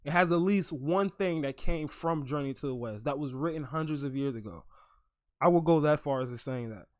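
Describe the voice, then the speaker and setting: A young man in his twenties with a slightly high voice and a close, dry sound. He hosts a loose anime podcast, making his points emphatically in profane, slangy, casual talk. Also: a little fast, dry recording. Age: 20s. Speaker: podcast host.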